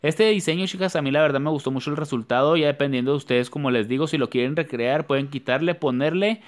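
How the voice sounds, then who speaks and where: A man's voice: on the high side, fast. A man talking viewers through a nail tutorial in a chatty, repetitive way. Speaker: nail artist. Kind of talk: casual nail tutorial voice-over.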